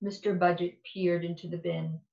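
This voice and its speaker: A woman reading a children's picture book aloud in an expressive, playful and deliberate way. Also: fairly low pitch, vocal fry. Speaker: storybook reader. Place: indoor room at home. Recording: echoey room, dull lo-fi sound.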